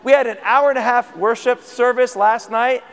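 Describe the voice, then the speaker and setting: A man preaches a sermon with a fairly high voice, his throat tired from singing. He talks conversationally and asks the congregation questions. His voice carries a long reverb from a church hall. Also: fairly even intonation, normal speed, fairly bright tone. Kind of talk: sermon teaching.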